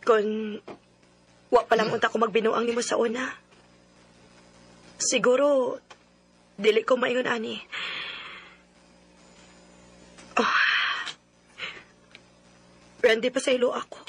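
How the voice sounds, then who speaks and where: A woman's voice: a little high, flat delivery, unhurried, long pauses, husky. An actress playing a regretful, plaintive woman longing for an old love in a Filipino Cebuano radio drama. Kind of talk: sentimental radio drama acting. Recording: radio drama with music bed.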